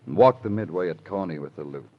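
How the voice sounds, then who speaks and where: An actor playing a world-weary, hard-boiled police detective in old radio noir. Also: male voice, low voice, animated, fast talker. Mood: quiet, grim. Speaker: lead actor, detective and narrator. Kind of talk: 1950s radio noir acting and narration. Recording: muffled old radio recording with music.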